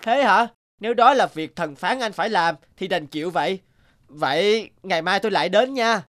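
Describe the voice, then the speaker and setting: A man's voice: sits high, lively intonation, normal speed, a bit bright. A man voicing a good-natured, earnest otter in a children's cartoon. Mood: accepting. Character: honest, good-natured otter, later quietly vengeful.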